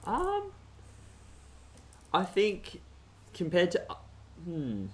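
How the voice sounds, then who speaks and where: A man's voice: high-pitched, wildly swooping pitch, drawn-out words. A man chatting casually as a podcast co-host. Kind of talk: casual chat.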